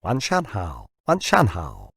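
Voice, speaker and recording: A man voicing a blustery, wry audiobook gentleman, husky. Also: low-pitched, wildly swooping pitch, slow pace, dry recording, clean studio sound, heavily acted. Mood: forced cheer. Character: glum, proud man forcing hearty bonhomie. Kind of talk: audiobook character dialogue.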